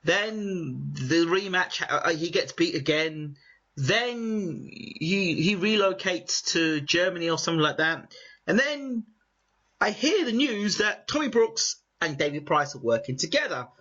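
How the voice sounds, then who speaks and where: British man, high lively voice, bright, interviewer, muffled lo-fi sound. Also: measured pace. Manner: excitable, talks in long build-ups. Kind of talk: animated interview chat. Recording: muffled lo-fi audio.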